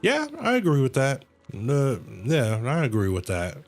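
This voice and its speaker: A man's voice: medium pitch, huge pitch swings, a little slow, a little hiss or hum. A man livestreaming a game, casually reading dialogue aloud and reacting in slang, sometimes stumbling and correcting himself. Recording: game music behind the voice. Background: video game music.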